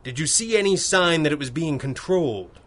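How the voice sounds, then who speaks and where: A forceful, commanding pulp hero. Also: male voice, fairly high pitch, animated. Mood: calm, resolute. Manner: measured, authoritative, formal diction. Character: masked crime-fighter hero, composed commanding man, pulp detective. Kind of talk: 1930s-style radio drama acting.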